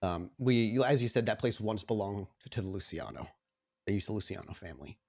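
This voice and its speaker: A man improvising explanatory narration as storyteller of a tabletop game, in a halting way. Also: fairly low pitch, a little mellow.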